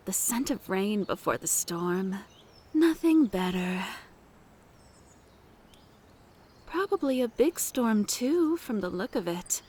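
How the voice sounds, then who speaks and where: A woman's voice: mid-range voice, sing-song, slow pace, long pauses, a little mellow, breathy edge, heavily acted. A woman playing a flirtatious, mature, motherly cabin owner in an ASMR roleplay, coaxing and doting with pet names. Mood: wistful.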